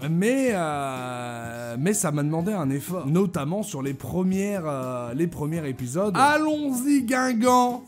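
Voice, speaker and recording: High-voiced man, jokey reaction host. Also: lively intonation, slow pace, hardly any pauses, soft background music. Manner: exclamatory, jokey, slangy. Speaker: video host reacting to a cartoon. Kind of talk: casual comic reaction commentary.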